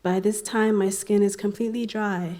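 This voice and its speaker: A woman reading her own poem at an open mic, soft, unhurried and emotional. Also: mid-range voice, fairly even intonation. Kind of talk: spoken word poetry reading. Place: community center event.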